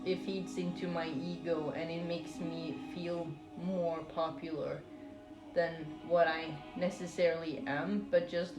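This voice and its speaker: A woman from Norway, chatting casually to live stream viewers in a hesitant, rambling, playful way. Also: lowish voice. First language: Norwegian. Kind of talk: casual chat with stream viewers while crocheting. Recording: roomy echo with music behind. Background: music.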